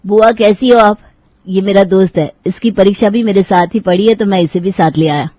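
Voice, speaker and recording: A young male student in an animated family tale, played by a woman storyteller. He sounds friendly and respectful, with a dry, close recording. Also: medium pitch, fairly quick, no room sound, close to an everyday voice. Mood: friendly greeting. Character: polite young male student, visiting nephew. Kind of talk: Hindi cartoon story dialogue.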